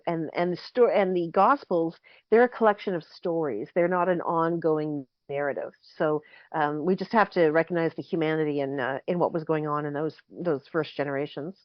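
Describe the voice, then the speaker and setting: A woman with a fairly low, slightly hoarse voice lays out her points patiently, often circling back to rephrase. The sound is dry and close with no room around it. The recording is a little dull and lo-fi. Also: no room sound. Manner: explains at length, thinking aloud with frequent self-corrections. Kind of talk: casual explanatory chat.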